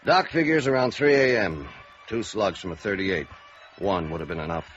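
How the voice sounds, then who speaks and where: Terse, low-voiced radio police sergeant. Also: male voice, normal speed. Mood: guarded, dry. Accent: American. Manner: clipped, deadpan. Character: terse, guarded, dry middle-aged male police sergeant. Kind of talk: 1950s radio noir acting. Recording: dull vintage radio recording, faint music.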